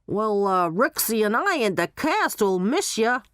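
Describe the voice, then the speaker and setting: A woman's voice: medium pitch, sing-song, measured pace, fairly bright tone, dry recording, clean studio sound, voice pushed up. A woman as a hesitant showbiz man. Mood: resigned. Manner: hesitant, hemming and hawing. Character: hesitant, affable man in show business.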